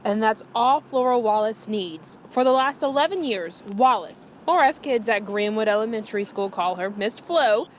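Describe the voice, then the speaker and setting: A woman reporter narrates a scripted television news story. Her voice sits a little high, and faint street ambience is audible underneath. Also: animated, normal speed, few pauses, a little hiss or hum.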